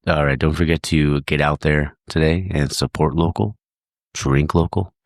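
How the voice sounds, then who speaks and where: A congested, tired man wrapping up his podcast alone, relaxed and grateful. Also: very deep voice, normal speed, vocal fry, dry recording, clean studio sound. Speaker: podcast host. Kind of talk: solo episode outro.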